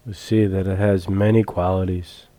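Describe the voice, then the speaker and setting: Man, meditation guide, soft dark timbre, flat intonation, calm measured delivery, dry close sound. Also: low-pitched, no echo. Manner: calm, measured, long pauses between phrases. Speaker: meditation teacher. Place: retreat center meditation hall.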